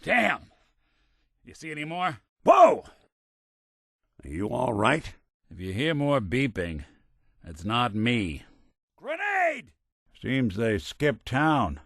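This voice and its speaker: A man's voice: mid-range voice, very animated, a little slow, a bit bright, no room sound, full character voice. A man voicing a wry, world-weary old synthetic detective in a video game, with a hard-boiled American drawl and dry sarcasm. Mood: alarmed, then musing, wry. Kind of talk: video game character acting, noir detective.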